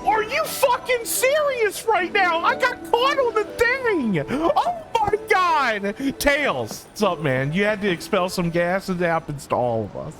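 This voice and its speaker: A woman gives casual live gameplay commentary in blunt slang. Her voice is fairly high and swings widely, at an unhurried pace, with game music behind it. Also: sing-song. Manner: blunt, slangy, exasperated exclamations. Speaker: game streamer.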